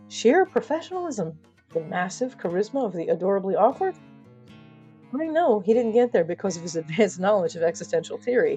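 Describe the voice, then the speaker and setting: Woman storyteller on a fiction podcast, wry n playful, theatrical, music with it, medium pitch. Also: mellow, muffled recording. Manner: wry, playful asides to the listener.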